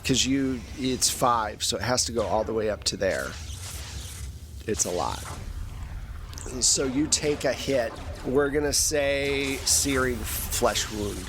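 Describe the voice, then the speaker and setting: A man's voice: mid-range voice, expressive, takes it slowly, noisy background. A man narrating a tabletop role-playing game as game master, in a conversational, improvised way. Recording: loud music bed behind voice. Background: music.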